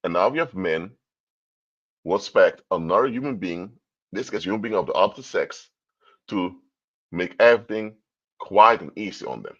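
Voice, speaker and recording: A man's voice: low voice, lively intonation, moderate pace, long pauses, dull audio. A man from Curaçao, giving a livestream talk in a lecturing tone full of rhetorical questions. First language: Papiamento. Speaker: commentator. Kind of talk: livestream commentary.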